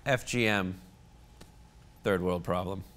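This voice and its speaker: A man's voice: sits low, slow pace, a bit bright. A man giving his opinions casually as a panelist on a talk show.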